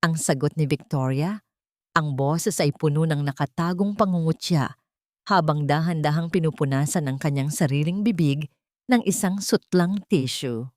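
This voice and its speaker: A woman's voice: low voice, normal speed, a little mellow, dry recording, studio quality. A woman reading a dramatic romance story as a scripted narration.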